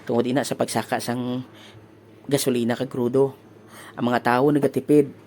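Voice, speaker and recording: A man's voice: mid-range voice, flat delivery, moderate pace, a little mellow, a little hiss or hum, close to an everyday voice. A man playing an easygoing Filipino fiancé in a comic audio drama, talking casually. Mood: affectionate. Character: easygoing fiancé working at a massage clinic.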